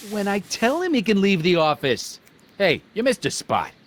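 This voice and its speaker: A man's voice: high-pitched, sing-song, moderate pace. An actor playing a brash, bullying American gangster boss in a video game, with sarcastic, domineering tough-guy talk and old-time slang. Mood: bossy, impatient.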